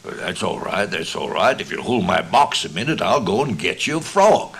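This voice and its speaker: A man's voice: fairly low pitch, big pitch swings, normal speed, a bit bright, husky, heavily acted. A man voicing an easygoing, boastful frog gambler from an Old West tale, with a folksy American accent. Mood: brisk, eager. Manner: easy, careless, folksy. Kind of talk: Old West storybook reading.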